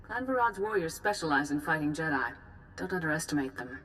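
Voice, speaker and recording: A woman playing a grave, composed Jedi master in a video game, giving formal briefings with measured authority. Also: low voice, expressive, a bit bright, a little hiss or hum, poor audio. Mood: grave, urgent. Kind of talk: formal dramatic mission briefing.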